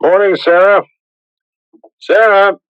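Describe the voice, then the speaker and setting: An actor playing an easygoing, modest young farmer in a radio drama, casual and plain-spoken. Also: male voice, high-pitched, steady tone, takes it slowly, smooth, no room sound. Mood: breezy. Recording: dull, dry old radio recording.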